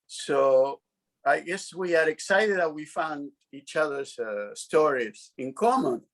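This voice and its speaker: Lively high-voiced middle-aged man. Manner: halting, self-conscious, frank. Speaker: interview guest. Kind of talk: casual interview chat.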